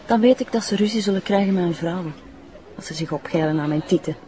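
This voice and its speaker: A woman's voice: on the low side, fast talker, dark tone, lightly acted. A woman playing a bitter, defiant young runaway addict in a TV drama, with a Flemish accent and a coarse, contemptuous way of talking. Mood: bitter, scornful. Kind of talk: naturalistic TV drama acting.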